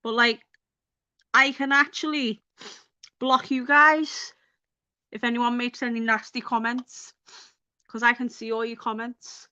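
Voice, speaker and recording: Woman, Liverpool accent, high voice, chatty, live stream host, dull lo-fi sound. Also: level tone, a little slow, long pauses, pushed and bright, smooth, dry recording. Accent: Liverpool (Scouse). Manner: chatty, bubbly, laughs often, talks to viewers. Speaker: livestream host. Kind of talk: casual live stream chat.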